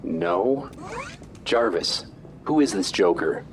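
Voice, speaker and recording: A man's voice: lowish voice, expressive, slow pace, slight background noise. A man as a snarky, impatient armored hero. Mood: brisk, impatient. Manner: snarky, dismissive. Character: cocky, sarcastic, impatient male armored superhero. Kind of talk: superhero audio drama acting.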